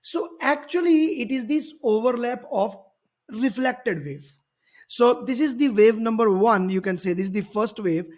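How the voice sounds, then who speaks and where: High-pitched male physics tutor. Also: lively intonation, a little slow. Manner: explanatory, repeats and rephrases points. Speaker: physics teacher. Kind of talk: worked-problem tutorial.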